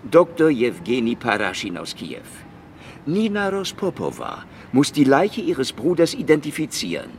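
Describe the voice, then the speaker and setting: A man's voice: mid-range voice, huge pitch swings, normal speed, slight background noise. An actor playing a tough, sly Soviet secret policeman with a sardonic, bullying manner in a radio crime drama. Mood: insistent, sly.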